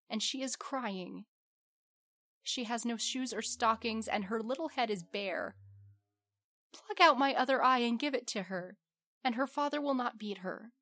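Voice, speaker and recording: A woman's voice: on the high side, fairly bright tone, voice pushed up. A woman voicing a noble, sorrowful prince statue in a storybook, earnest, imploring and commanding. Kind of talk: storybook character voice.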